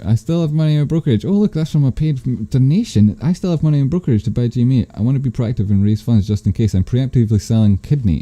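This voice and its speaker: A man's voice: warm tone, dry recording. A man from Scotland hosting a live stream, reading chat comments aloud with dry, teasing, rambling asides. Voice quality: bassy voice. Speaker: stock stream host. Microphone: desk microphone.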